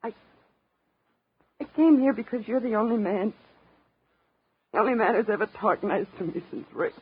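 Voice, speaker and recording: A woman's voice: medium pitch, animated, normal speed, husky. An actress playing a frightened, shaken young woman on the run in a 1950s radio drama. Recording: muffled, noisy 1950s radio recording.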